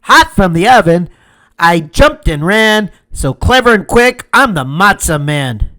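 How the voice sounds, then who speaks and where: A man's voice: high voice, sing-song, measured pace, pushed and bright, pitched above the performer's own voice, heavily acted. A man voicing a cocky, taunting little matzah man come alive, chanting boastful rhymes in a sing-song storybook manner. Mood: cocky, excited.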